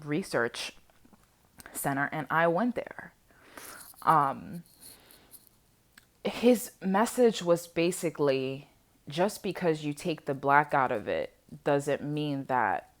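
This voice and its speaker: Woman, 20s, low-pitched voice, unscripted personal vlog, conversational and animated, wanders between thoughts. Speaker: vlogger sharing personal reflections on history. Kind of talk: casual unscripted chat to viewers.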